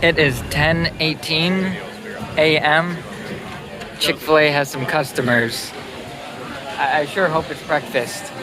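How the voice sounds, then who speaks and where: A man's voice: a little high, fairly even intonation, slow pace, long pauses, crowd noise. A man giving casual running commentary into a phone camera while out at an amusement park. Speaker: vlogger at a theme park. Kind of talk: casual chat and walk-through commentary. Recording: outdoor phone recording with music behind.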